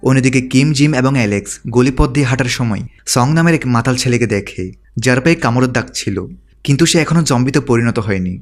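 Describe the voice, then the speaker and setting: A man giving a scripted narration in a steady storytelling manner. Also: mid-range voice, fast. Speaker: movie recap narrator. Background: background music.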